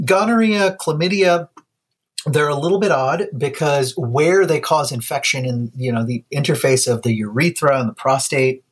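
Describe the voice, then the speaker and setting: A man's voice: medium pitch, slightly rough. A man co-hosting a casual science podcast, explaining infections in a loose, laughing way with frequent restarts. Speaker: podcast co-host.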